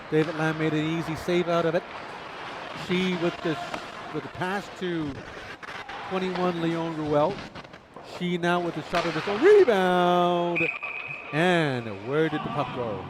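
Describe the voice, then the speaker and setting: A man's voice: fairly high pitch, background noise. A man commentating live ice hockey, a native Chinese speaker, chatty and self-deprecating, laughing between play-by-play calls.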